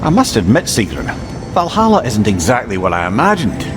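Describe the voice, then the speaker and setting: A man's voice: fairly low pitch, wildly swooping pitch, brisk, music in the background. A man playing a witty, garrulous older sage companion in a video game, chatty and wry. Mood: wry, curious. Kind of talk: video game cutscene acting.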